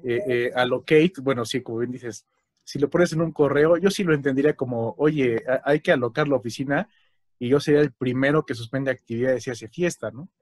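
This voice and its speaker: Mexican man, chatty host, mid pitch, dry close sound. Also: a little fast, a little mellow, no room sound. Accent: Mexican Spanish. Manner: chatty, frequent fillers and tag questions. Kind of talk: casual conversation.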